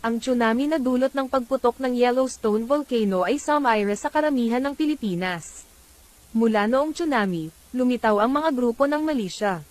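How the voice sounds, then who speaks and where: A woman's voice: a little high, fairly quick, a little mellow, slight background noise. A woman narrating a scripted emergency bulletin as an announcer.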